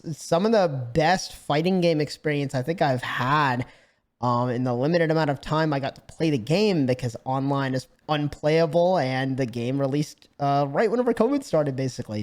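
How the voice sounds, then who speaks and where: Brief: man, slightly scratchy voice, casual animated game review, a bit high-pitched. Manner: animated, swears freely, frequent fillers and restarts. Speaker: gaming YouTuber.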